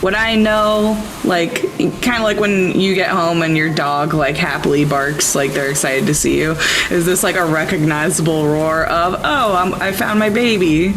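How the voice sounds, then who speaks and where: A woman's voice: lowish voice, normal speed, noisy background. A woman playing a tabletop roleplaying game, casually improvising in a rambling, conversational way. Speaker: tabletop RPG player.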